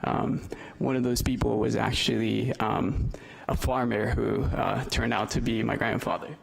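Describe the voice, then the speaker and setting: A man's voice: mid-range voice, level tone, a little slow, gravelly, poor audio. A man in his twenties from El Salvador, a youth representative telling his personal story to a panel audience, hesitant and full of filler ums. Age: 20s.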